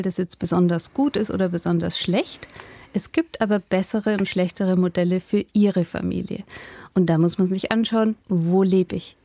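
A woman speaks as a linguistics professor in an interview. Her voice is mellow and warm, and she explains with lively everyday examples and laughs easily. The recording is dry and close. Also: mid-range voice, no room sound. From Upper Franconia, Germany. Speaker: linguistics professor and author, guest.

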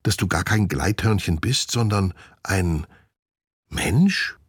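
A man's voice: sits low, lively intonation, takes it slowly, slightly rough, no echo, clean studio sound, heavily acted. A man voicing a schoolgirl changed into a chimpanzee, asking surprised, sensible questions. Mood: puzzled, thoughtful. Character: schoolgirl turned into a chimpanzee, startled and practical.

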